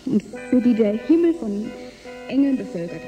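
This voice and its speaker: A woman's voice: on the high side, animated, normal speed, warm tone, muffled recording, faint music behind, lightly acted. An actress playing a disillusioned, sardonic seventeen-year-old girl in a German science fiction radio drama. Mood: wistful, bitter.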